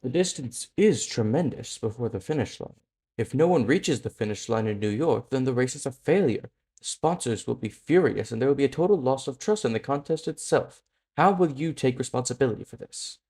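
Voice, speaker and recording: A man's voice: mid-range voice, lively intonation, fairly quick, warm tone, breathy edge. A man playing a challenging press reporter in an amateur manga dub, asking pointed, formal questions.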